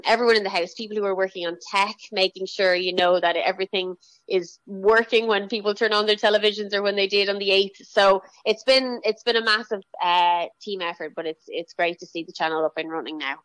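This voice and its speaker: A woman's voice: medium pitch, steady tone, brisk, a bit bright, dry recording. A young Irish woman, a children's TV presenter, talking as a guest in a radio interview, chatty with many fillers and restarts. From Ireland.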